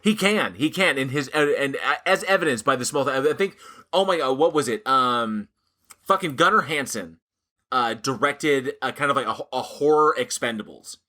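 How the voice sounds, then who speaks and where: Bright-voiced swearing man chatting. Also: mid-range voice, lively intonation, normal speed. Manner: rambling, swears freely, laughs often. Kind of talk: casual chat about horror films.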